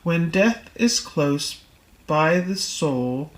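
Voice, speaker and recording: Female audiobook reader for spiritual text: unhurried, deliberate, mid-range pitch, recorded in a reverberant room. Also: animated.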